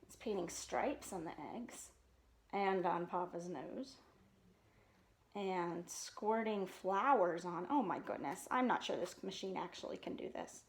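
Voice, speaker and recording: A woman's voice: lowish voice, expressive, unhurried, a little hiss or hum. A woman hosting storytime, reading a children's storybook aloud expressively and adding casual asides. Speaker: storytime host.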